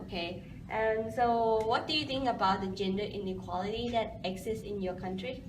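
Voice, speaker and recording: A woman's voice: medium pitch, moderate pace. A young woman student interviewing a friend, speaking non-native English with many fillers and prompts. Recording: echoey room, distant mic, noisy.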